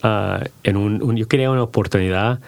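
A middle-aged man, a podcast guest who talks in halting bursts with many restarts and slips into English. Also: lowish voice, gravelly, no echo. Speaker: podcast guest, company founder. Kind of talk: casual bilingual interview.

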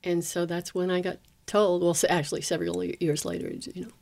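A woman's voice: on the low side, level tone, fast, creaky, a little mellow. A woman singer talking in a reflective, earnest way during a casual chat.

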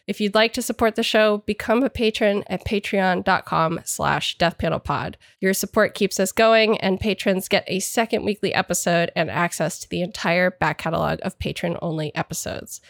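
A woman's voice: medium pitch, no room sound. Congested woman hosting a podcast, dry sound. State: slightly congested from a cold. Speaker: podcast host. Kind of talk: scripted podcast intro and discussion.

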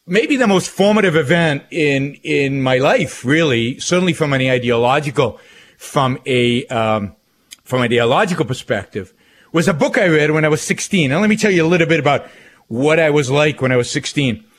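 A man's voice: on the high side, animated, normal speed. A middle-aged man with an Israeli accent, talking off the cuff as a radio host in an emphatic way, often repeating phrases. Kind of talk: talk radio monologue.

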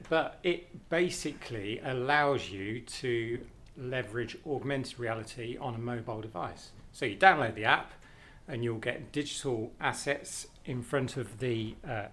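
A man's voice: mid-range voice, animated, moderate pace, few pauses, fairly bright tone, a little hiss or hum. A man on a podcast, explaining technology in a casual way and repeating himself as he goes. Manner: explanatory, repeats himself, says yeah a lot.

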